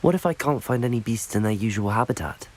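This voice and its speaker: An actor playing a young student wizard in a video game, polite, keen and composed, with an inquisitive manner. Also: male voice, mid-range voice, expressive, quick pace, natural voice. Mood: polite, curious. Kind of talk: video game character dialogue.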